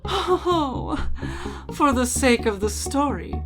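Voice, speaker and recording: Girl doing a playful demon queen of the abyss, slow n theatrical, grand drawn out words, music behind. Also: high-pitched, lively intonation, voice pushed up. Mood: teasing, musing. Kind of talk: dramatic reading of game dialogue.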